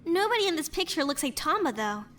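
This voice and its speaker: A woman playing a casual, gently curious young investigator in an animated murder mystery. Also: high-pitched, fast, a little hiss or hum. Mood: thoughtful, careful.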